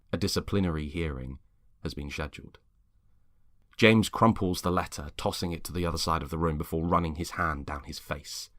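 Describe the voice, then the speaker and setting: A man narrating a scripted story in a measured, dramatic way. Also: sits low, fairly bright tone, no room sound, clean studio sound.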